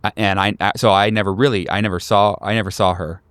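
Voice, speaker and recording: A man's voice: fairly low pitch, little pitch movement, quick pace, fairly bright tone, smooth, no echo, close to an everyday voice. A man voicing a painfully awkward young recluse in his twenties in a tabletop horror game, stammering, repeating himself and apologizing. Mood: anxious, defensive.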